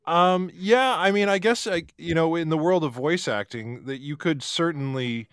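A man hosting a podcast, joking with a guest in halting, hedging banter. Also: fairly high pitch, a bit bright, smooth, dry recording, clean studio sound. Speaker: podcast host. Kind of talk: casual podcast chat.

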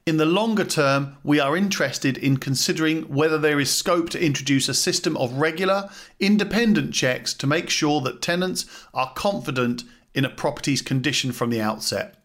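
A man with a voice pitched a little above average delivers scripted narration as a podcast host. He reads formal written material aloud. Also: moderate pace, fairly bright tone. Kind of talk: scripted narration, reading a policy document aloud.

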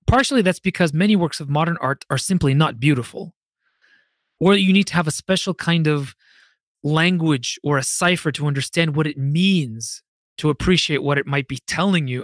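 A man with a somewhat high-set voice hosts a reflective solo podcast. He sounds literate and opinionated, leaning on key words and pausing deliberately for emphasis. The sound is dry, with very little room in it. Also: no room sound. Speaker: podcast host.

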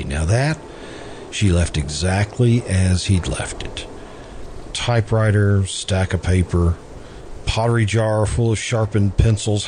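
An actor playing an earnest, hesitant man in love in an old-time radio drama, speaking deliberately and wistfully. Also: lowish voice, background noise. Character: earnest man in love with best friend's widow.

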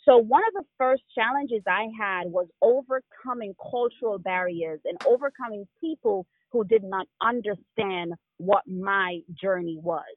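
A woman's voice: medium pitch, no room sound. Jamaican woman on a tinny line. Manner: animated storyteller, quick laughs. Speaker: guest. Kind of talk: casual interview.

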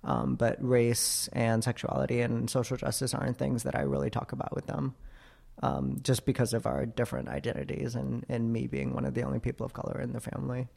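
A man in his twenties from Florida, answering interview questions in a thoughtful, hesitant way with many pauses and ums. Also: mid-range voice, gravelly, darkish tone. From Florida, USA. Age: 20s. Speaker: interview guest. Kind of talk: casual interview.

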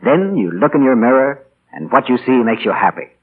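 A man's voice: medium pitch, very animated, brisk, husky. A man working as a 1940s radio announcer, delivering chatty, polished scripted sales patter. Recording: scratchy, tinny 1940 radio broadcast.

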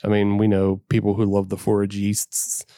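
A man's voice: lowish voice, completely flat delivery, normal speed, warm tone, smooth, dry recording, clean studio sound. A man hosting a casual beer podcast, laughing often and steering the talk. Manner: laughs often, leads the discussion. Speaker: podcast host. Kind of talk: casual chat.

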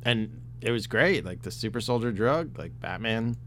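A man co-hosting a casual podcast chat, talking in an excitable, rambling way with lots of fillers and swearing, his voice getting worn. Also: fairly low pitch, normal speed, a little hiss or hum. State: losing his voice. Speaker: podcast host.

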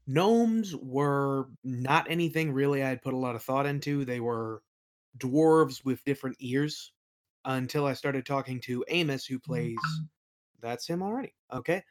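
A man's voice: medium pitch, measured pace. A man being interviewed as a game master and creator, chatting casually in an informal, enthusiastic manner. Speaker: podcast guest, game master and audio play creator.

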